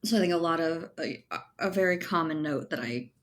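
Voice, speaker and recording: Low-voiced woman in casual interview talk. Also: expressive, a little fast. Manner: informal, thoughtful, often checks in with tag questions. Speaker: interview guest, writer and editor.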